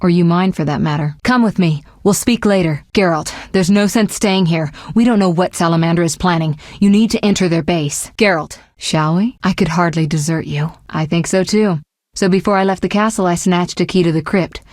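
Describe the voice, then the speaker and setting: A composed fantasy game sorceress, played by a woman, speaks in a fairly low voice with very little room sound. She is articulate and measured, caring yet resolute, with a sharp wit and an earnest way of putting things. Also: no echo, clean studio sound, lightly acted. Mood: confident, businesslike. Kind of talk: fantasy video game voice acting.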